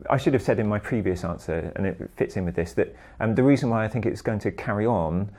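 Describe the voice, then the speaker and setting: A man's voice: on the low side, fast, a little mellow. A man from Britain discussing viewer questions in a conversation, hesitant, with many ums, building his points step by step.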